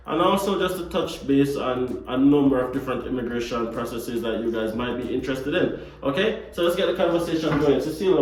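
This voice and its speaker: A man's voice: medium pitch, a little mellow, a little hiss or hum. A man with a Jamaican accent from Jamaica, hosting a casual, joking interview chat. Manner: friendly, joking. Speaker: host. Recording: echoey room, far from mic.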